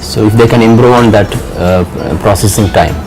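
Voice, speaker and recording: A man in his thirties, a financial controller giving a measured interview testimonial in an office, often saying "you know". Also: on the low side, music in the background. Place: office indoors.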